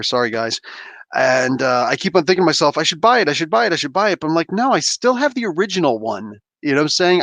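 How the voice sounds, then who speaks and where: Laid-back rambling man, slightly high voice. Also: fairly bright tone, no echo. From New Jersey, USA. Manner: laid-back, rambling, laughs often, slangy. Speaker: livestream host. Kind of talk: casual livestream chat, reading chat and opening toys.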